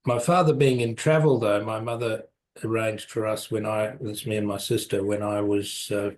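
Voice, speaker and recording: Older New man, low voice. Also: little pitch movement, normal speed. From South Africa, raised in New Zealand. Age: 70s. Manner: rambling, self-correcting, chuckles lightly. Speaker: guest. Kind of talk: relaxed storytelling interview.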